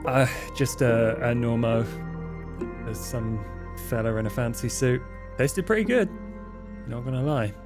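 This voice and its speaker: A man's voice: mid-range voice, measured pace, music in the background, lightly acted. Man playing a casual, streetwise fledgling vampire. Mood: breezy, offhand. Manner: slangy, offhand, hesitant.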